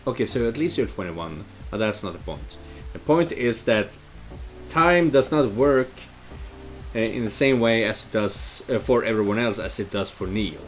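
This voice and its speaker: A man in his thirties giving casual, halting let's play commentary and reading game text aloud. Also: on the low side, animated. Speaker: game streamer. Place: indoor room at home. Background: game music and rain sounds.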